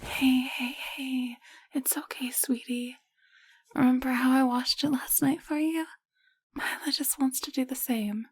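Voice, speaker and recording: A woman playing a caring, level-headed alien medic in an intimate audio roleplay, speaking gently and explaining things. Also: high voice, steady tone, unhurried, slightly rough, no echo, full character voice. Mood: soothing. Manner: gentle, explanatory, hushed at times.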